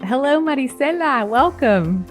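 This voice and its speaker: A woman from Guatemala hosting a podcast, upbeat and encouraging, quick to laugh. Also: high-pitched, lively intonation, faint music behind. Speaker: podcast host.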